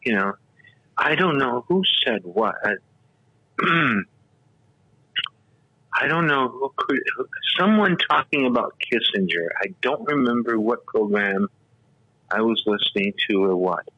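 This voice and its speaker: A man's voice: mid-range voice, lively intonation, measured pace, pauses often, pushed and bright, breathy edge, no room sound. A man in his sixties, a radio talk show host, telling stories in a rambling, casual way full of fillers and restarts. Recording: dull, lo-fi radio audio.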